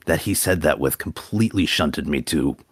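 A man calling in to a talk show, with a very deep voice, speaking slowly and hesitantly with many fillers and dry, deadpan humor. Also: no room sound. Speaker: caller. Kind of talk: casual call-in chat.

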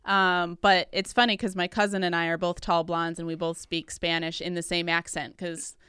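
Very clear, bright young American woman. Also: fairly low pitch, level tone, moderate pace, no echo, clean studio sound. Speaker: podcast guest, school social worker. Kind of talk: casual chat. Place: podcast studio.

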